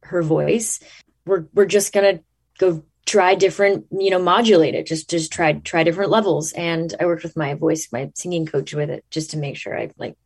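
A woman actress talking casually in an interview, thinking aloud and restarting her sentences, her pitch jumping up when she makes a point. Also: fairly even intonation, moderate pace, a little mellow, breathy edge. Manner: rises in pitch when emphatic.

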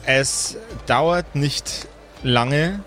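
A man's voice: mid-range voice, very slow, fairly bright tone, soft background music. A man narrating and chatting as game master of an improvised tabletop roleplay game.

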